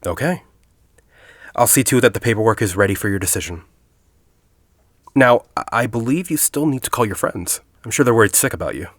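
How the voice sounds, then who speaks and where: A man playing a composed, persuasive university headmaster in an audio drama, speaking in a formal, deliberate way. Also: on the low side, expressive, fairly bright tone, no echo, clean studio sound. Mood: reassuring. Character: composed, persuasive university headmaster, grieving a colleague, quietly calculating.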